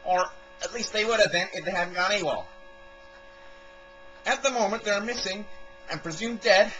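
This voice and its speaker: Man playing a high-voiced, bright officious army captain. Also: normal speed, dull audio, a little hiss or hum. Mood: solemn, official. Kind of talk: amateur audio drama acting.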